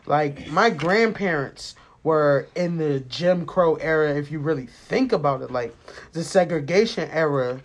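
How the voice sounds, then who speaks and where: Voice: mid-range voice, measured pace. An American podcast host in their late twenties talking casually and personally, rambling, candid and emphatic, with plenty of swearing. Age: late 20s.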